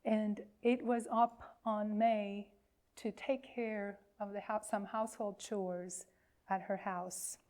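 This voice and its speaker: A woman minister reading and telling a children's story at a worship service, phrasing deliberately with pauses. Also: mid-range voice, flat delivery, darkish tone.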